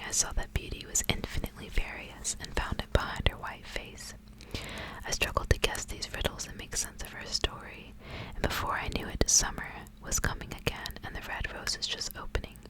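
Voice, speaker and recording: A woman whispering softly and gently in a hushed ASMR style, as a host who reads stories aloud. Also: rough recording. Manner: soft, hushed, gentle delivery.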